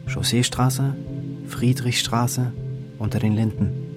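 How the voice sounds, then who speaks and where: A man's voice: mid-range voice, steady tone, takes it slowly, music in the background. A man reading a literary travel diary as scripted narration, in clipped, terse phrases.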